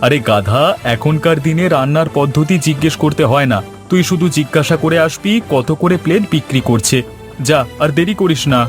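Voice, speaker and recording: Man as a bossy, high-pitched scheming boss. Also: a little fast, lightly acted. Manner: scolding, commanding. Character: scheming, bossy male rival hotel owner. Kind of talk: animated story dialogue. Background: faint background music.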